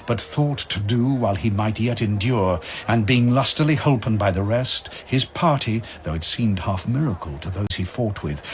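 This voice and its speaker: A man's voice: medium pitch, slight background noise. A man narrating epic verse as a scripted drama, in a grand, theatrical storytelling manner. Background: music.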